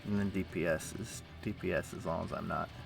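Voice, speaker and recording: Low-voiced man chatting casually on a game stream. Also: steady tone, brisk, creaky, over music. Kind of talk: casual chat while playing an online game.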